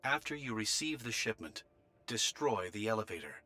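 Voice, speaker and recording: Bright, crisp military operator. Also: male voice, on the low side, big pitch swings, moderate pace, dry recording. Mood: businesslike. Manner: formal, official briefing. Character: businesslike male military operator giving orders. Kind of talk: anime game voice acting.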